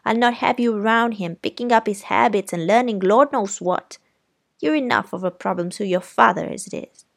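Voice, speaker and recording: A woman's voice: mid-range voice, lively intonation, normal speed, vocal fry. A woman reading aloud voices a stern, proper older aunt who speaks in a haughty, raised voice.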